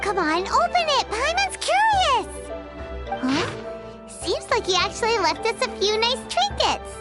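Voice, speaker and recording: A tiny, cheeky fairy girl from a video game, squeaky and extremely high. The delivery is excitable and childlike, drawn out at an unhurried pace, with prominent music underneath. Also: heavily acted. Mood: excited. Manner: refers to self in third person, exclamatory.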